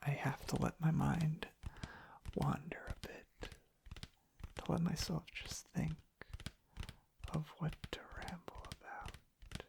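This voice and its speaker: A young man rambles in a soft ASMR style, very slowly and with heavy vocal fry. He often slips toward a whisper and halts mid-sentence. He is close to the microphone, with soft tapping and clicking behind him. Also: a little high, fairly even intonation. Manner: hushed, halting, pausing mid-sentence. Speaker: ASMR content creator.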